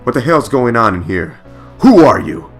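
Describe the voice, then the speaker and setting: A man playing a brash, cocky but easily rattled young space superhero in an audio drama, speaking urgently and loudly. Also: mid-range voice, big pitch swings. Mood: angry, panicked. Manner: urgent, loud, quick, exclaiming. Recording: background music under the voice.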